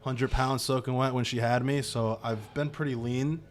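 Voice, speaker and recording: Loud, laughing young man, casual storyteller. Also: mid-range voice, steady tone, moderate pace, a little hiss or hum. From New Jersey. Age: 20s. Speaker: podcast guest. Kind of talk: casual chat.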